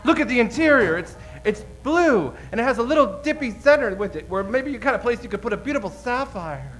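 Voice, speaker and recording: An actor playing an effeminate, coy gangster with an arch, teasing manner in an old-time radio comedy. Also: male voice, very high voice, lively intonation, brisk, fairly bright tone, low-quality recording. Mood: arch, flirtatious.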